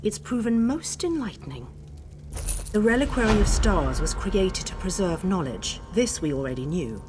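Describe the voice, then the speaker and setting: A woman voicing an earnest, composed scholar in a video game, with a measured, formal way of explaining things. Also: medium pitch, sing-song, music in the background, lightly acted. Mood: intent, explanatory. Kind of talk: video game character acting.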